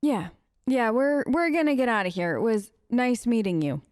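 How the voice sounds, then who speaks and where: A woman let's player improvising in character over a game, conversational, gentle and reassuring, pausing as she finds her words. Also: fairly high pitch, sing-song, no echo, studio quality.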